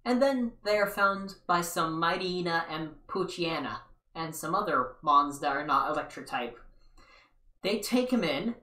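A man's voice: high-pitched, bright, forceful tone. A young man giving a rambling, casual recap commentary from an armchair in a small living room, with sarcastic asides. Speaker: video commentator. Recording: echoey room, mic far off.

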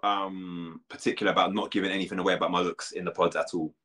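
Fast-talking low-voiced man. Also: little pitch movement, fairly bright tone. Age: 30s. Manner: chatty, lots of "like" fillers. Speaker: guest. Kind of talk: casual interview chat.

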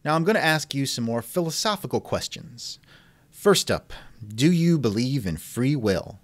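A man's voice: medium pitch, big pitch swings, normal speed, no room sound. A man hosting a conversational interview, asking questions in a wry, skeptical manner. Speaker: interviewer, host. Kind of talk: reenacted text-chat interview, conversational.

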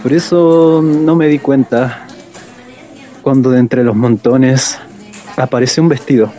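Man acting lonely man looking back, literary radio monologue, mellow tone, medium pitch, wounded, reflective. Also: steady tone, measured pace, close to an everyday voice. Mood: wistful, wounded. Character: lonely, wounded man recalling his bullied school childhood.

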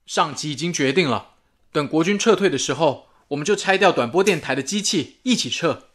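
A resolute radio station colleague in a wartime drama. The voice is high for a man, measured and earnest. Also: animated, a bit bright.

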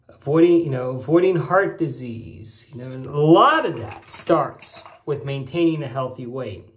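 Chatty man in his forties, echoey bathroom. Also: fairly high pitch. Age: 40s. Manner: chatty, rambling, folksy explanations. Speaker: shaving vlog host. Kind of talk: casual chat while shaving. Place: small home bathroom, indoors.

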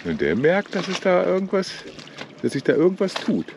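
An older man narrates a sailing trip outdoors on a lagoon, speaking casually into a camera mic. His pitch swings widely as he teases his partner. Wind and water noise sit clearly under his voice. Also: wildly swooping pitch, normal speed. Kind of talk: casual running commentary while filming.

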